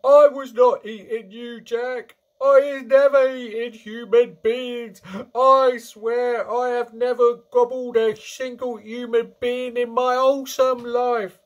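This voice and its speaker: Screeching, terrified brute of a giant. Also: male voice, very high-pitched, little pitch movement, voice pushed up. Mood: frantic begging. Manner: bellowing, screeching, begging in drawn-out cries. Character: huge brutish male giant, terrified, pleading in a nightmare.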